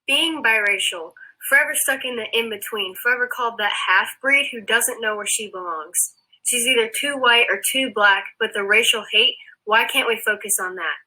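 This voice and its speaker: A teenage girl recites spoken word poetry in a measured, reflective way. Her voice is a little high, quite husky and breathy, and bright. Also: low-quality recording. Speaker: student poet.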